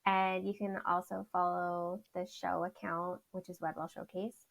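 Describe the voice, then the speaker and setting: A woman's voice: level tone, unhurried, creaky, poor audio. A woman, a chatty podcast guest who laughs often. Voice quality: says her voice is high. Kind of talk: casual interview chat.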